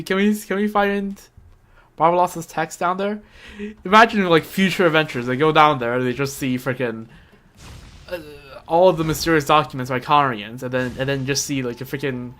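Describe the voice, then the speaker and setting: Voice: medium pitch, fairly bright tone. A livestreamer giving casual, slangy gameplay commentary in a rambling, conversational way. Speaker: livestreamer playing a video game. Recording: game music behind the voice. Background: video game music.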